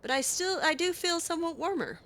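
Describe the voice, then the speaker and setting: A woman's voice: extremely high pitch, lively intonation, a little fast, a bit bright, slight background noise. A woman doing in-character improvised narration of a video game, musing aloud to herself as she goes. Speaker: let's play host roleplaying her character.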